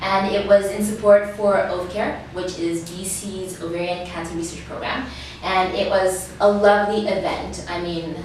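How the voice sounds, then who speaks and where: A woman interviewer with a slightly low voice chats casually and effusively with guests. She is full of compliments. The sound is very echoey and distant from the mic, with a faint noise underneath. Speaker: interviewer and host.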